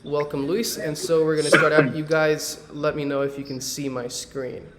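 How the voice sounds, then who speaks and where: A man's voice: a little high, little pitch movement, normal speed, reverberant, heavy background noise. A man from Medellín, Colombia, hosting a real estate webinar over a video call in a loose, conversational way, with many fillers and tag questions. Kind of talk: casual presentation on a video call.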